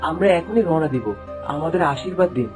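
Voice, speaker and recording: A man's voice: on the high side, expressive, fast, over music, close to an everyday voice. A man voicing an anxious, determined young prince in a fairy-tale cartoon, respectful and pleading. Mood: earnest.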